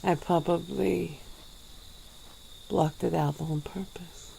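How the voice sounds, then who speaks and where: A woman voicing a centuries-old female vampire, calm and regretful, speaking slowly and formally in an ASMR roleplay. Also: on the low side, gravelly, a little hiss or hum, full character voice, rough recording. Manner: slow, measured, formal speech.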